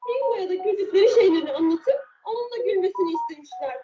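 A woman reading a children's fairy tale in a deliberate, drawn-out, expressive way. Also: very high-pitched, faint music behind. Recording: muffled, roomy, far from the mic.

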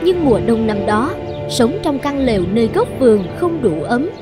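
A woman narrates a folk tale in a scripted storybook style. Her voice is high, and music plays clearly behind it. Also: lively intonation, normal speed.

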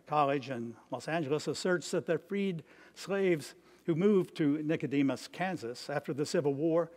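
Older male preacher with a higher-pitched voice. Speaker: priest, preacher. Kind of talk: sermon read from notes. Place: stone church, at a lectern.

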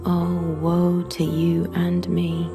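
A woman's voice: fairly low pitch, very animated, gravelly, full character voice. A woman in a radio drama playing a mournful prophetess, delivering a sung lament. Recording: slow lament over music.

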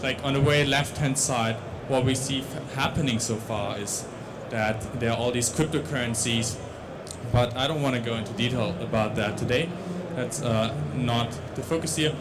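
Young dutch guy presenting at a conference, stops and restarts a lot, mid pitch, loud hiss behind. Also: normal speed. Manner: hesitant, many restarts and fillers. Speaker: conference speaker. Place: large conference stage. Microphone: handheld mic.

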